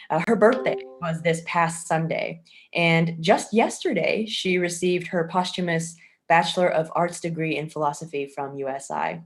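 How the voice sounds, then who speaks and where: A woman hosting a virtual event on a video call, speaking casually with a wry, self-deprecating manner. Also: deep voice. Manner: informal, wryly self-deprecating. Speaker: event host and playwright. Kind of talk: casual introduction to a virtual staged reading.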